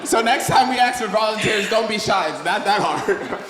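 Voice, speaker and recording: A man's voice: high-pitched, moderate pace. A man hosting an open mic night at a coffeehouse, hyping the crowd with lots of laughter. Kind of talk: lively event hosting. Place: coffeehouse stage with live audience. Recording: echoey room with long reverb.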